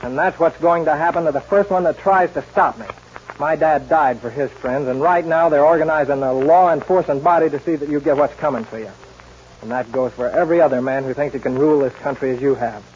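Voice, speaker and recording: An actor playing a resolute, fearless young cowboy with a firm, commanding manner in a 1940s western radio drama. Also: male voice, fairly high pitch, fairly quick, a little mellow, lightly acted. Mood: stern, defiant. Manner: firm, commanding, plain-spoken. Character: resolute young cowboy avenging his father, fearless and stern. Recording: noisy muffled 1940s radio recording.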